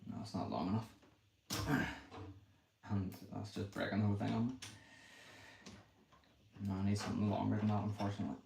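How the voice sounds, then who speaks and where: A young man with a Northern Irish accent, thinking aloud quickly and apologetically as he chats with a livestream audience. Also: fairly low pitch, steady tone, creaky, a little mellow. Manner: talks quickly. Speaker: livestreamer building a brick set. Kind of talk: casual livestream chat while building. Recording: echoey room with faint noise.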